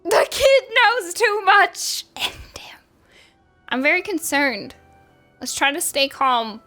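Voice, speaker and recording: A woman's voice: very high-pitched, sing-song, takes it slowly, pushed and bright, soft background music. Giggly woman streamer reacting to a game. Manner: giggly, chatty, reacting aloud. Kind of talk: casual game commentary.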